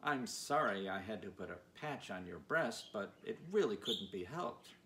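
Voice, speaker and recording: A man's voice: fairly low pitch, animated, normal speed, pushed and bright. Storybook humbug wizard, played by a male reader: little genial fellow, breezy emphatic patter, noticeable background noise. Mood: breezy, reassuring.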